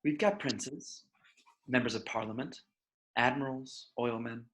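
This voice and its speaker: A man playing a confident, refined figure in a superhero script read, measured and self-assured. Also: mid-range voice, fairly bright tone, lightly acted, rough recording. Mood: suave, confident. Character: confident, refined man surveying a powerful crowd.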